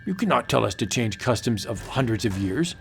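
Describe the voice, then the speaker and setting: A man's voice: mid-range voice, animated, brisk, gravelly, faint music behind. A man playing a proud, menacing sorcerer chieftain with an ominous, declamatory delivery in a comic book audio drama. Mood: defiant.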